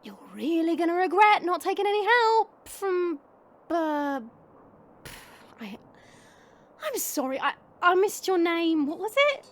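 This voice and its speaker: A woman's voice: very high voice, animated, measured pace, long pauses. A woman playing a smirking, sarcastic monster woman who chats and mocks in an audio drama. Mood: sarcastic, amused. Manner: sarcastic, mocking, chatty. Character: smirking, teasing monster woman, short and muscular.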